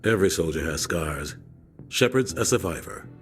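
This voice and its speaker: An actor playing a resolute veteran officer in a video game, firmly vouching for a soldier. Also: male voice, sits low, animated, moderate pace, soft background music. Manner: firm, resolute.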